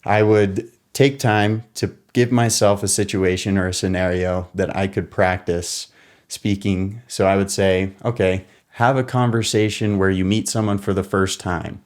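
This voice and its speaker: A young American man in a casual, relaxed monologue, explaining things step by step and sometimes correcting himself as he goes. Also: deep voice, a little slow. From United States. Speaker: podcast co-host.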